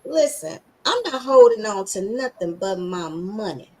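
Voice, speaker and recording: A woman's voice: medium pitch, lively intonation, a little slow, a little mellow. A middle-aged woman with a scratchy voice hosting a podcast, talking in a sassy, emphatic and preachy way with lots of slang. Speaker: podcast host. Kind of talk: casual motivational chat.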